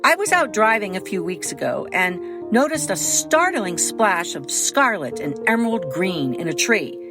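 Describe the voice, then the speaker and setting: A woman delivers a scripted podcast introduction with guitar music behind her. Her mid-pitched voice moves widely up and down as she tells the story behind the drama like a writer.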